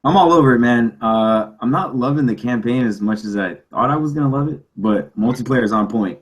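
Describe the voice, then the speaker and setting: Husky man in casual, emphatic chat. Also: mid-range voice, fairly quick. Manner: emphatic, repeats himself. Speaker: podcast panelist. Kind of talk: casual chat about video games.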